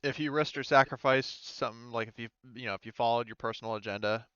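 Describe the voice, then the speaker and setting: Clear-voiced man, slightly dull dry mic. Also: mid-range voice, fairly even intonation, fast talker, fairly bright tone, no echo. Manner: informal, swears casually. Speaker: game master. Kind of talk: casual chat running a tabletop roleplaying game.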